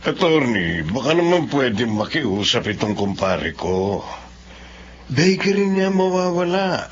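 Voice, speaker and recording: Pleading, animated Filipino man. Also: mid-range voice, slow. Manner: earnest, pleading. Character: pleading adult male neighbour standing up for friend. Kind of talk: radio drama acting. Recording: dull lo-fi audio with background noise.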